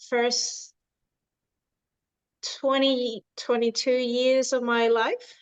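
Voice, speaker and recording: A woman in her thirties from Venezuela, a dietitian and nutrition coach, answering podcast questions in unhurried, halting speech with many restarts. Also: medium pitch, clear, resonant tone, dry recording, rough recording. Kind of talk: podcast interview.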